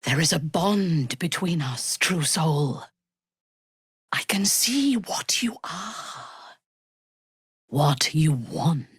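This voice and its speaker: A woman voicing a ruthless, haughty dark elf warrior commander in a video game, speaking in an imperious, formal, menacing way. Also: low-pitched, lively intonation, drawn-out words, long pauses, husky, no echo, clean studio sound, exaggerated voice. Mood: solemn, intimate.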